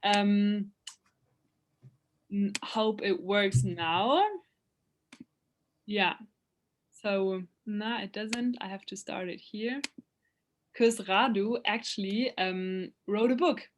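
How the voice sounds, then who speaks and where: A young woman moderates an online event in a mid-pitched voice. She is friendly and a little hesitant, often filling pauses with "um" and "uh". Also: steady tone, a little hiss or hum. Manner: friendly, hesitant, many fillers. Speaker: presenter.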